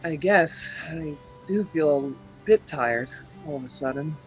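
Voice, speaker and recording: A woman's voice: deep voice, expressive, measured pace, soft background music, pitched below the performer's own voice, rough recording. A woman voicing a nervous, easily flustered young male hero in a fan-dubbed fantasy game. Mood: flustered, sheepish. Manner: dramatic, flustered outbursts and hesitations.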